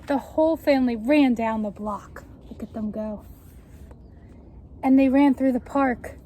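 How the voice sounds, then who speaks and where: A young woman reading a children's book aloud in a deliberate, expressive way, explaining and asking the listeners questions. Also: on the high side. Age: young adult. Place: outdoors on a front step by a house door. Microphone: camera at a short distance. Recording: faint outdoor street noise.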